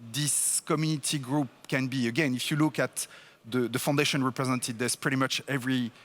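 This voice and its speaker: A man's voice: mid-range voice, fairly even intonation, a little fast, a bit bright. A man speaking at a tech conference in an emphatic, encouraging way. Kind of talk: conference presentation.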